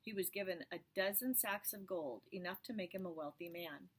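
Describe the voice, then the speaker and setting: Breathy woman reading a picture book aloud. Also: on the low side, fairly quick. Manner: gentle, showing pictures. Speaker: storyteller.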